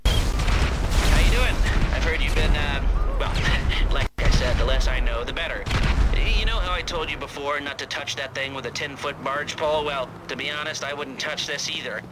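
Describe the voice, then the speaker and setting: An actor playing a wary, evasive underworld fixer in a video game, chatty and hesitant with nervous joking. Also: male voice, fairly high pitch, normal speed, lightly acted. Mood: cagey, uneasy. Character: wary, evasive male underworld fixer who avoids knowing things. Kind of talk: video game cutscene acting. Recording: loud outdoor traffic noise behind.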